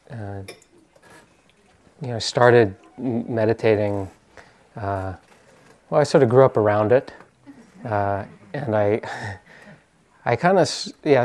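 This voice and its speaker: An American man from the East Coast giving an informal meditation talk as a teacher, hesitant and often pausing to search for words. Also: mid-range voice, darkish tone.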